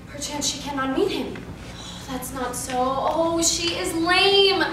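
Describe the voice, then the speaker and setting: Woman playing very high-pitched lovestruck young noblewoman. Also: slow. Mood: impatient longing. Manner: theatrical, declamatory, urgent. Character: impatient, lovestruck young noblewoman, eager and pleading. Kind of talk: classical stage acting of Shakespeare. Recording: echoey room, distant mic, clear noise.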